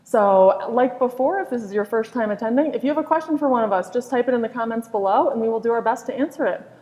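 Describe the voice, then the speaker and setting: An upbeat, encouraging woman hosts a live college panel and introduces her guests. Her voice sits a little high. There is a long hall reverb around her. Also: fast, warm tone. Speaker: host, marketing director. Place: campus hall.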